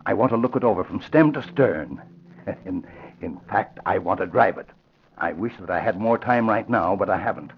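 A man's voice: fairly low pitch, expressive, moderate pace. An actor playing an older American father, proud and eager, with emphatic folksy exclamations in 1940s radio drama style. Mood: amazed, eager. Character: proud, eager old father, former Texas rider. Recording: muffled, noisy vintage radio recording.

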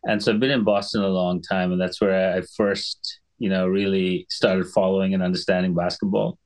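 A middle-aged man from Sri Lanka, a physician guest in a conversational interview, talking in a measured, explanatory way and often clearing his throat. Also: deep voice, flat delivery, a little mellow.

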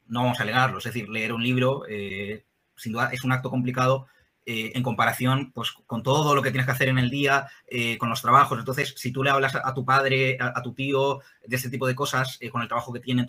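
A man answers interview questions at length. He explains step by step, filling pauses with "eh". His tone is bright and forward at a middling pitch. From Spain. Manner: explanatory, many "eh" fillers. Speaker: guest, think-tank worker.

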